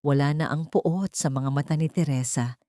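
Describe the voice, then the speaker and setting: A woman narrating a dramatic story as an audiobook, with measured, sentimental delivery in short phrases. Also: low-pitched, warm tone, dry recording, clean studio sound.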